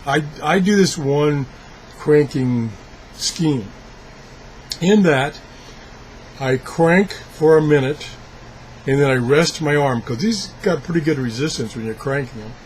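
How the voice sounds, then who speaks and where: A man giving a casual, unscripted product demonstration as a video blogger, thinking aloud haltingly as he handles things. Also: a little high, darkish tone, a little hiss or hum, poor audio. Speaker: video blogger reviewing gadgets.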